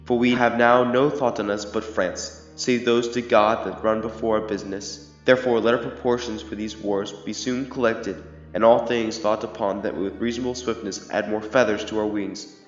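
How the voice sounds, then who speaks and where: A man's voice: fairly low pitch, steady tone, moderate pace, few pauses, close to an everyday voice. A young man playing a resolute, regal English king in a Shakespearean audio drama, speaking formal, declamatory verse. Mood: determined. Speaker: amateur student actor. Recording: muffled, echoey hall reverb under music.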